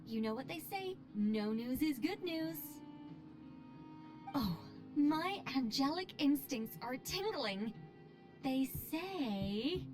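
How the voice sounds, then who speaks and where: An actress playing a poised, playful, self-assured angelic mage in a video game, airily polite and gently boastful. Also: female voice, high voice, full character voice. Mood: light, fond. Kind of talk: video game character dialogue. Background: background music.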